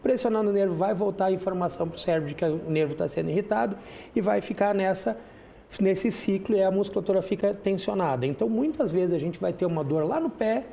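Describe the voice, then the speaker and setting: A man's voice: high voice, quick pace, vocal fry, dark tone, slight background noise. A middle-aged man from Brazil, a chiropractor guest on a TV talk show, explaining patiently with frequent restarts and tag questions. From Rio Grande do Sul, Brazil. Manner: didactic, many restarts and "né" tags. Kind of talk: explanatory interview answers. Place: TV studio.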